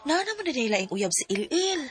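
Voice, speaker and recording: A woman's voice: high-pitched, sing-song, fairly quick. A woman acting an outspoken, nosy and protective young best friend in a Bisaya radio drama, with a Cebuano Filipino accent. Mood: gossipy, insistent. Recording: radio drama audio, faint noise, clicks.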